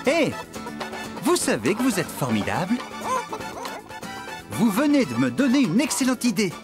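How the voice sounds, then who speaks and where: A cartoon farmhand, high voice, super animated, bossy but good-natured, music behind. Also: male voice, moderate pace. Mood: excited. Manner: exclamatory, bossy, enthusiastic. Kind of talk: children's cartoon dubbing.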